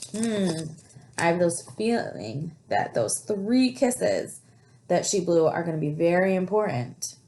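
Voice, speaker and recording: A woman reads a children's story aloud in a teacherly way. Her voice sits a little low for a woman, and she steps out of the tale to explain and put questions to young listeners. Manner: explanatory, pauses to ask questions. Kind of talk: picture book read-aloud with asides to young listeners.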